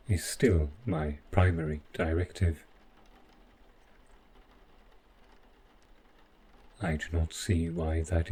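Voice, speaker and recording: Give this rogue robot butler , played by a man, a very low voice and an unhurried, measured pace. He is formal and stiffly polite, calmly overprotective and possessive as he addresses the listener in an audio roleplay. A faint ambient noise sits behind the voice. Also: low-quality recording. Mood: calm, controlling.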